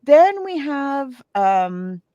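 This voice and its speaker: A woman co-hosting a podcast, giving a casual, chatty plot recap in an animated, halting way. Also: high voice, no room sound, lo-fi sound. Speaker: podcast co-host.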